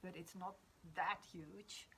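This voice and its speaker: Dutch lady in her 50s, lowish voice, reflective chat, kinda hesitant, bit of background noise. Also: fairly even intonation, slow, gravelly, a bit bright. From Netherlands. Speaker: discussion participant. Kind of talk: reflective spiritual conversation.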